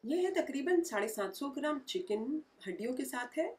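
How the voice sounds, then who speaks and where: A woman's voice: high-pitched, lively intonation, moderate pace, a little mellow, recorded from a distance. A woman, hosting a home cooking tutorial and explaining each step in a homely way, recorded in her kitchen. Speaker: cooking channel host.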